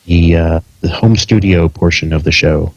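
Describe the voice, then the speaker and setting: A man's voice: sits low, normal speed, gravelly, dry recording, highs cut off. A middle-aged American man chatting casually as a podcast host before the show. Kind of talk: casual pre-show chat.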